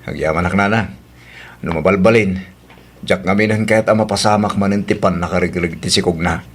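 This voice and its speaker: A man's voice: low voice, fairly even intonation, a little fast, slightly rough. A Filipino man acting in an audio drama as a tender, apologetic husband who longs for a child. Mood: earnest.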